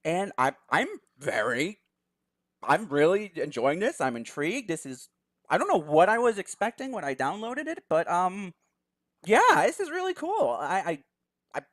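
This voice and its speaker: A video host reading a visual novel aloud and commenting on it in a dramatic, expressive manner. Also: medium pitch, normal speed, no room sound, clean studio sound.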